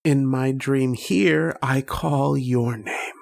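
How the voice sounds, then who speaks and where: An actor playing a tormented, homesick man trapped in a dream, brooding and halting in an old-time radio horror drama. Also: medium pitch, fairly even intonation, vocal fry, a little mellow. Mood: brooding, dreamy. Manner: brooding, halting, poetic delivery.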